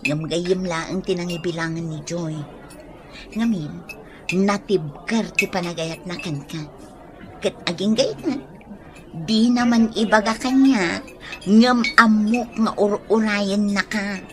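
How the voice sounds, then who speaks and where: A woman's voice: medium pitch, takes it slowly, background noise, close to an everyday voice. An actress playing a teasing, frank young woman who nudges a friend toward romance in a radio drama. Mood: urging.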